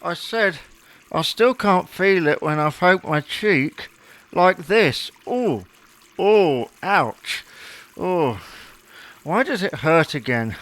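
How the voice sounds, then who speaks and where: Guy doing big baby husband, whiny n sulky, slow talking, dry sound, audio sitcom. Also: high-pitched, lively intonation, a bit bright, dry recording, heavily acted. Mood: plaintive, childish. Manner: plaintive, babyish, sulking. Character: whiny, childish grown man, a sulky husband. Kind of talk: domestic audio comedy drama acting.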